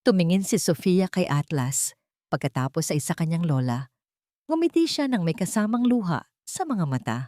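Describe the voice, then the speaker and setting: A woman's voice: fairly low pitch, sing-song, normal speed, dark tone, dry recording. A woman reading a scripted romantic story as its narrator.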